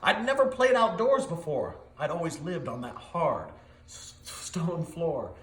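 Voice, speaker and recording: An eager, clumsy big dog acted by a man tells his own tale in storybook rhyme. The pitch is high and swings widely, with dramatic, rhythmic delivery. The sound is roomy and echoing. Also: sing-song, a little slow, fairly bright tone. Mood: joyful. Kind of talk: animated storybook read-aloud.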